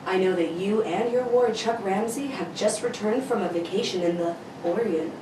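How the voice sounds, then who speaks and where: A woman's voice: medium pitch, close to an everyday voice. A woman playing a grave, formal masked government official in an amateur read of an old radio serial. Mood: grave, urgent. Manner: solemn, formal, deliberate. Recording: roomy, distant mic, clearly noisy.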